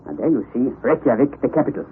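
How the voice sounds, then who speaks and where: An actor playing an excitable, eccentric older scientist uncle in a vintage radio drama, theatrical and declamatory. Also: male voice, medium pitch, fast talker, natural voice. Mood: lecturing. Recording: tinny, noisy old radio recording.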